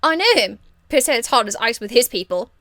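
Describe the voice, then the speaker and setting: A woman voicing a cheeky, crude young street rebel woman from a fantasy game, blunt, slangy and excitable. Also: a little high, a little fast, dry recording, clean studio sound. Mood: defiant. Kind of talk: video game character acting.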